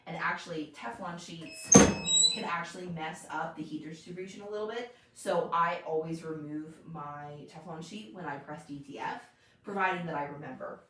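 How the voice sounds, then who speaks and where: A young woman hosting a live crafting stream from her home craft room, chatting casually, thinking aloud, laughing often and reading out viewer comments in a loud voice. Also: low voice, moderate pace, roomy sound, background noise. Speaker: crafting livestream host. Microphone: tablet mic across the room.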